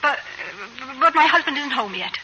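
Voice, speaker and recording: A woman's voice: high-pitched, lively intonation, normal speed, pushed and bright. An actress playing a flustered, polite suburban mother in 1940s American radio comedy, hesitant and stammering. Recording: noisy vintage radio broadcast.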